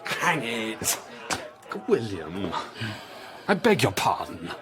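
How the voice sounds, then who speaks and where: A rakish young English gentleman officer of a period radio play. His voice swings very widely in pitch, blustering and haughty, proud and charming by turns. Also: big pitch swings, drawn-out words, a loud crowd, full character voice. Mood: contrite, cajoling. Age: young adult. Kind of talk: period radio drama acting.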